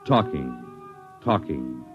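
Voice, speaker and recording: A man's voice: a bass voice, sing-song, takes it slowly, heavily acted. A man playing the solemn narrator of a science fiction tale, in dramatic scripted old-radio style. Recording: dull old radio recording with loud music.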